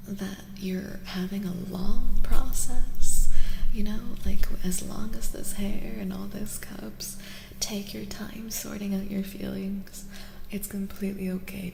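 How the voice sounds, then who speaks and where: A woman streaming soft-spoken ASMR tarot readings to a live chat, gentle, reassuring and breathy, often slipping into whispers. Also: mid-range voice. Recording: roomy reverberant sound with faint noise.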